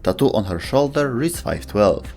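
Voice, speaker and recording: A man's voice: mid-range voice, big pitch swings, moderate pace, gravelly, a little mellow. A man narrating a scripted video essay about game lore. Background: music.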